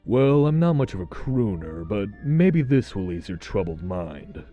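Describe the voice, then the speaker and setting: Casting: man as young rogue thief for a comic dub, lowish voice, suave, wry, cocky, music underneath. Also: very animated, a little slow, narrow, tinny audio. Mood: cool, teasing. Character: young male master thief raccoon, suave, cocky, wistful. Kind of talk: comic dub voice acting with narration.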